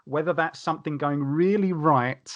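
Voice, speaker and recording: A man's voice: a little high, moderate pace. Emphatic man telling anecdotes. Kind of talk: casual podcast discussion.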